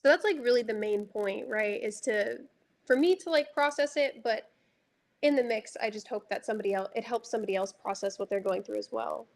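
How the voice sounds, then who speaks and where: A young woman from Dallas with a light, high voice, a music artist talking reflectively about her songs and filling her speech with casual fillers. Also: brisk, vocal fry. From Dallas, Texas. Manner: reflective, heavy use of filler words. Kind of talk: casual interview chat.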